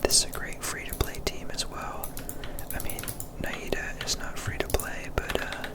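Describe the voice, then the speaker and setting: A person whispering softly and casually in ASMR gameplay commentary, thinking aloud while playing, close to the microphone. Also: normal speed. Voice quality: soft unvoiced whisper. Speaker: gaming ASMR creator.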